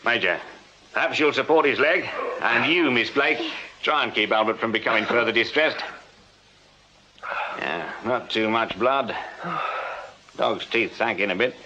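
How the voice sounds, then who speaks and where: A man playing a brisk, no-nonsense Victorian detective sergeant in a radio drama, with an English accent and an authoritative, businesslike manner. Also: medium pitch, noisy background, lightly acted. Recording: muffled radio drama with loud background ambience.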